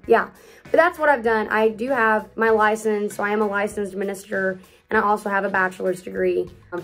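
A woman in her early twenties, a youth pastor, chatting upbeat to camera in a casual Q&A vlog from a small room at home. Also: medium pitch. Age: early 20s. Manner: chatty and upbeat, talks with lots of hand gestures.

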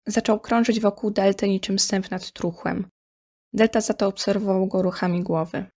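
A woman's voice: mid-range voice, steady tone, moderate pace, darkish tone. A woman reading scripted story narration in Polish as the narrator of an audio drama.

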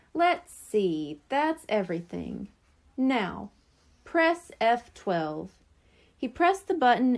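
A woman reading a children's chapter book aloud as narrator, expressive and clearly phrased. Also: on the high side. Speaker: storybook reader.

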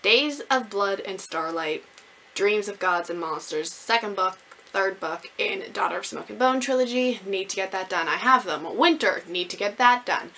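A young woman chatting casually to camera as a book vlogger, animated, gushing and poking fun at herself. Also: medium pitch, normal speed, slight background noise. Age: young adult. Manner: animated, gushing, self-mocking. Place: small room at home with bookshelves.

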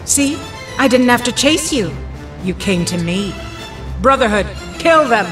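A woman's voice: on the high side, expressive, a little slow, over music. Cold, imperious villainess, acted by a woman. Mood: menacing. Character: commanding, cold female shapeshifting mutant villain. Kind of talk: audio drama acting.

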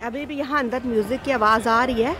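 A woman's voice: a little high, brisk. A woman vlogging outdoors as she walks through a busy city square, chatting to viewers like a tour guide while filming on a handheld phone. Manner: chatty tour-guide commentary, calls to her child. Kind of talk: casual vlog narration while walking. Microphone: handheld phone camera. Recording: busy crowd and music behind.

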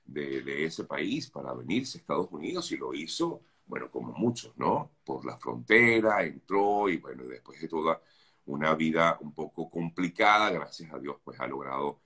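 A man's voice: extremely low pitch, lively intonation, normal speed. A man hosting a morning radio interview, chatty and friendly, with lots of fillers. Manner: warm, chatty, full of fillers. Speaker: radio host.

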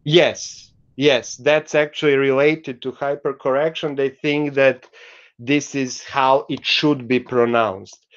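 A middle-aged man giving a university lecture over a video call, explaining slowly with many fillers. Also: mid-range voice, fairly even intonation, lo-fi sound. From Croatia. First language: Croatian. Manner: slow, explanatory, with frequent fillers. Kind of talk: recorded online lecture. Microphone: video call webcam.

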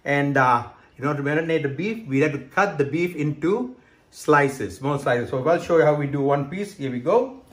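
A man's voice: on the high side, expressive, moderate pace. A middle-aged man from Hong Kong hosting a home cooking demonstration in his kitchen, explaining each step and checking in with "okay" and "right". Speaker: cooking show host. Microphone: camera close by, handheld.

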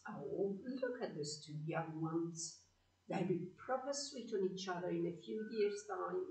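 A woman's voice: lowish voice, animated, measured pace, a little mellow. A woman playing a fussing, good-humoured, chiding aunt in a community audio play. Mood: teasing. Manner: chiding, exclamatory. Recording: echoey room, slightly distant mic.

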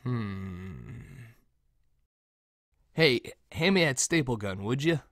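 An actor plays a brooding young student in an audio drama. He speaks slowly in a mid-pitched voice, close and dry. His delivery is hesitant and subdued. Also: expressive, a bit bright, dry recording.

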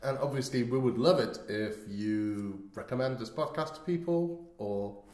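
Male host, medium pitch, wry, halting casual chat, echoey room. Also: a little mellow. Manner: hesitant, searching for words, wry. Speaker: podcast host. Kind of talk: casual, unscripted show introduction. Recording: roomy, echoing sound.

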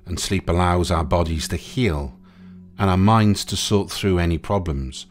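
A man narrating a guided sleep meditation in a soothing, gentle, unhurried way, leaving pauses between short phrases. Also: low-pitched. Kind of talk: guided sleep meditation, hypnotic relaxation countdown. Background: music.

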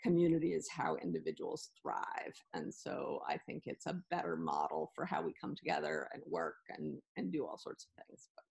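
A woman's voice: low voice, big pitch swings, normal speed, vocal fry, darkish tone, slightly rough. A woman introducing herself on a video call podcast, talking in loose sentences with fillers. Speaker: community company founder.

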